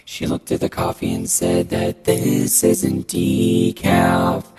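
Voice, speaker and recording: Someone tells a personal anecdote through an effect that drags the voice extremely low. It comes out slow and gravelly, with music playing. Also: completely flat delivery, a little mellow. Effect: pitch-lowering voice effect. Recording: music over processed voice.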